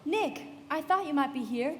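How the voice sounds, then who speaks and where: Female socialite for live radio play; gossipy, sociable, animated, reverberant hall sound. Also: high voice, moderate pace, a little hiss or hum. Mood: breezy gossip. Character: breezy, gossipy socialite woman at a party.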